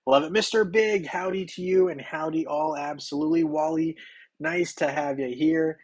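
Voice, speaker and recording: Young guy streaming a game, bit hoarse, upbeat and chatty, says hi to everyone in chat. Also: on the high side, fairly even intonation, normal speed, darkish tone. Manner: upbeat, greets chatters by name. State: slightly hoarse. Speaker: game livestreamer. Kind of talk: casual livestream chat.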